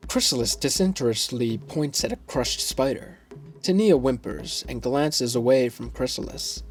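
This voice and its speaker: A man's voice: medium pitch, moderate pace. Male audio drama narrator over music. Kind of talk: scripted narration of an audio drama, reading stage directions.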